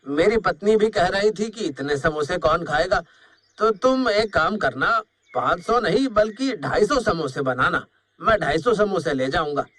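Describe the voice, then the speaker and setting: Man playing a genial, bright-voiced wealthy merchant. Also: high-pitched, lively intonation, normal speed. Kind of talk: Hindi cartoon story acting.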